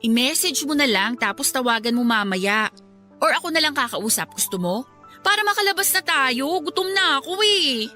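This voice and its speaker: Blunt teenage Filipina little sister, high bright voice, teasing and scolding, radio drama. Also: female voice, very animated, moderate pace, full character voice. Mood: cheeky. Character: frank, teasing teenage younger sister, wiser than her age. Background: music.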